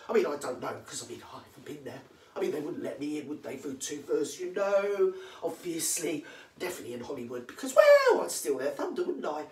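A vlogger with a London accent, rattling off comic celebrity gossip, answering their own questions and dropping into hushed asides. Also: fast talker. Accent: London English. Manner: drops to hushed asides, jumps to emphatic high yeses. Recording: echoey room, voice far from mic.

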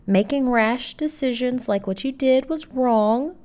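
A woman playing a weary, firm middle-aged mother and ex-wife in a cartoon audio drama, speaking in a measured way. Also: high voice, a little mellow. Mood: earnest.

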